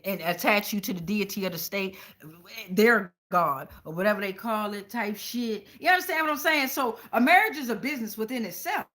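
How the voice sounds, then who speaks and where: A woman's voice: fairly high pitch, sharp tone. An American woman giving an informal tarot reading as host, blunt, foul-mouthed and emphatic, often checking in with her listeners. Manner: checks in with listeners with repeated tag questions.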